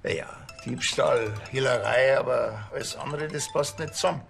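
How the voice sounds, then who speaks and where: A Bavarian policeman in a TV crime comedy, dry and teasing. He talks in a laconic, colloquial way at a middling pitch, with music clearly audible behind him. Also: moderate pace. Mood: matter-of-fact.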